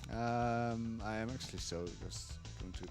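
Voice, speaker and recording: Casting: easygoing man commentating a game run, mid-pitched, faint music under him. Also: a little slow. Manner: relaxed, chuckling, explanatory. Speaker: speedrunner. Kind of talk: casual live gameplay commentary.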